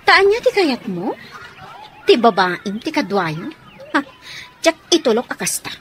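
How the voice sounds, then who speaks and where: A woman's voice: on the high side, big pitch swings, unhurried, a bit bright. Woman acting an indignant mother, noisy recording. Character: indignant, protective mother confronting her husband. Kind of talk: radio drama acting.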